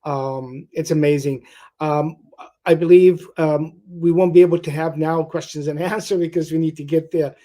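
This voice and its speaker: Halting man moderating a forum, mellow voice. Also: a little high, steady tone. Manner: hesitant, halting, many fillers.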